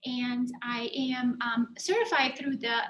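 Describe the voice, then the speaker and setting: A woman with a Bosnian-German accent presents a coaching workshop in a calm, reflective way, with plenty of fillers. Her voice sits high. The room around her is echoey. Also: measured pace, slight background noise. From Bosnia, raised in Germany. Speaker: career and life coach presenting. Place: classroom in a coworking space.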